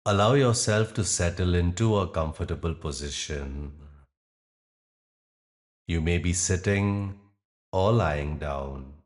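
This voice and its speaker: A man guiding a meditation in soothing, measured phrases with long, deliberate pauses. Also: low voice. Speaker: meditation guide. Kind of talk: guided meditation narration.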